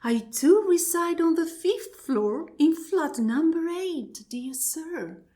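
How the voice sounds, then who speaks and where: A woman's voice: very high-pitched, expressive, takes it slowly, mellow, heavily acted. A woman playing a genteel, wonderstruck married lady in an absurdist radio play, formal and exclamatory, repeating her polite phrases. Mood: amazed. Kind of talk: absurdist stage dialogue played as radio drama.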